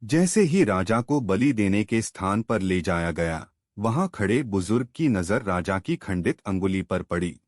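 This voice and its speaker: A man narrating a moral story in a slow, measured way, ending with a lesson for the listener. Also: on the low side, very animated, dry recording, studio quality.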